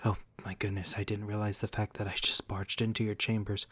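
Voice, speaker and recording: Man playing a low-voiced, courteous vampire gentleman. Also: little pitch movement, a little fast, breathy edge, no room sound. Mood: apologetic, caring. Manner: formal, polite, apologetic, gently solicitous. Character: courteous, protective centuries-old male vampire lord of a manor. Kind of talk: ASMR roleplay, speaking to the listener.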